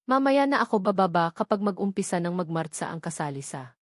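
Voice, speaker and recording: A woman's voice: fairly low pitch, very animated, brisk, dry recording. Filipino woman narrating a novel, dry close sound. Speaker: audiobook narrator. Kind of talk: scripted first-person romance novel narration.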